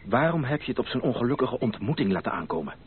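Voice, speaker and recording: Earnest, theatrical male suitor played by an actor, formal and animated, hissy phone-like vintage radio play recording. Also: medium pitch, fast talker, lightly acted. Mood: bewildered. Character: ardent, earnest gentleman suitor pleading for love. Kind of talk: old radio play acting. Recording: tinny, band-limited, hissy old radio recording.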